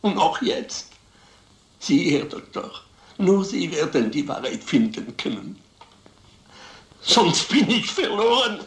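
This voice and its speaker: A desperate peddler in a vintage crime film. He pleads haltingly and slowly, repeating himself, with wide swings in pitch. The old soundtrack is roomy. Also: very animated, fairly bright tone, a little hiss or hum, full character voice. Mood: desperate, insistent. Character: desperate, pleading male peddler suspected of murder.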